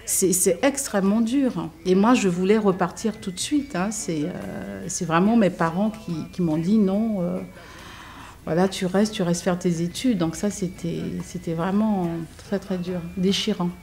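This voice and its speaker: A woman from French Guiana giving a personal testimony in an interview, speaking in a hesitant, reflective way with frequent pauses, fillers and restarts. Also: mid-range voice, warm tone.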